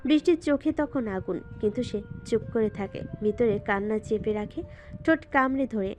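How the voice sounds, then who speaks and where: A woman's voice: fairly high pitch, lively intonation, fairly quick, soft background music. A woman narrating a scripted romantic story in a dramatic style.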